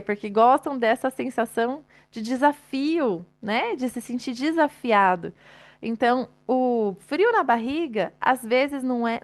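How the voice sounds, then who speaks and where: A woman giving a chatty, live-streamed motivational talk, addressing the audience directly and laughing often. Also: high-pitched.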